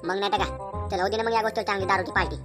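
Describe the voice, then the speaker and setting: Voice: mid-range voice, steady tone, very fast, lightly acted. A performer voicing a cheeky village drinking buddy in a comic cartoon, giving teasing, coarse advice in rural Vidarbha Marathi slang. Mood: teasing, advising. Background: music.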